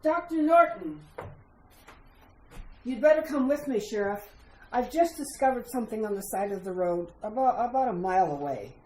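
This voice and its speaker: A woman's voice: medium pitch, expressive, brisk, mellow, close to an everyday voice. A woman playing a guarded, hesitant doctor bringing grim news in a retro radio mystery. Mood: urgent. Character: uneasy, reluctant doctor bearing grim news. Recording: roomy sound with faint noise.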